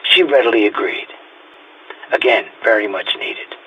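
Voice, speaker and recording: A man's voice: fairly low pitch, expressive, moderate pace, breathy edge, highs cut off. A man playing a weary, haunted police detective nearing retirement, speaking a reflective, confiding journal entry in an audio drama. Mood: uneasy, hopeful.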